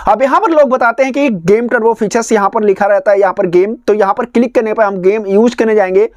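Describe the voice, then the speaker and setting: A man's voice: high voice, fast, a little mellow, no room sound. A man hosts a phone tutorial. He explains one step at a time, often repeating his instructions. The recording is dry, with very little room sound around the voice. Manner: repetitive, instructional phrasing. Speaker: tech tutorial host.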